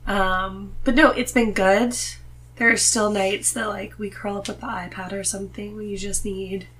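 A woman's voice: mid-range voice, level tone, normal speed, fairly bright tone. A woman with a nasal voice, talking casually as a podcast guest. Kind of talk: casual conversational chat. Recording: noticeable background noise.

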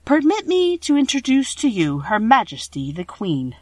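Woman acting out an earnest, lively scarecrow man. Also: a little high, moderate pace, no room sound, voice pushed up. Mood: directing. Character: earnest, courteous male living scarecrow. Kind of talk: storybook character voice.

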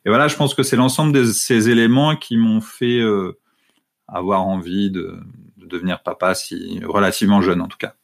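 A man in his thirties, a guest in an interview, talking about his family with many pauses and fillers. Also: lowish voice, fairly even intonation, vocal fry. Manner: hesitant, many fillers and restarts. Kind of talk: conversational interview.

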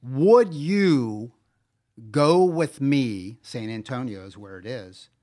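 A man co-hosting a podcast in casual conversation, telling stories in an animated, emphatic way. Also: mid-range voice, takes it slowly. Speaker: podcast co-host.

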